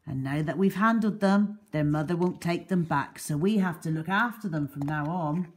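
A woman's voice: sits low, normal speed, lightly acted. A woman voicing a bearded wildlife rescuer in a storybook, firm but kind. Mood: kindly. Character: gruff bearded man, stern then kindly wildlife rescuer.

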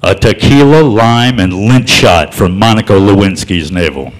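A man performing a stand-up comedy set in a comedy club, telling stories in a deadpan, folksy drawl that builds to loud punchlines. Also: on the low side, moderate pace, low-quality recording.

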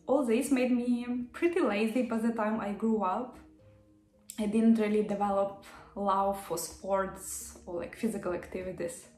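A woman's voice: mid-range voice, a little mellow. Young Ukrainian-accented woman in an echoey room. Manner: friendly, laughs often, careful pronunciation. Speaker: yoga vlogger. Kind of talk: casual to-camera Q&A. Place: small office room indoors.

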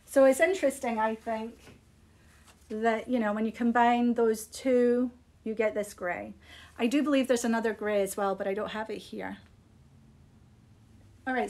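Higher-pitched woman, chatty presenter. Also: a little mellow. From Scotland. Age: middle-aged. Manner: chatty, unscripted, appreciative. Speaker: yarn shop host presenting products. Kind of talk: casual live product showcase. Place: small indoor room. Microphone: phone at arm's length.

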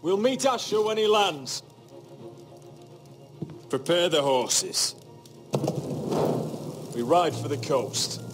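Slow, resolute young commander. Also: male voice, fairly high pitch, big pitch swings, over music. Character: resolute, commanding young nobleman leading soldiers. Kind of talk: dramatic video game acting.

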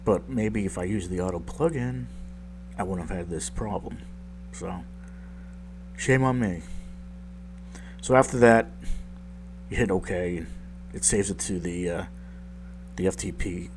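A man with a deep, just-woken-up voice walking through a tech tutorial in a rambling, self-deprecating way, with lots of ums. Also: a little slow, long pauses, noisy background. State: just woke up, deep morning voice. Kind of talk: casual screen-recorded tutorial voice-over.

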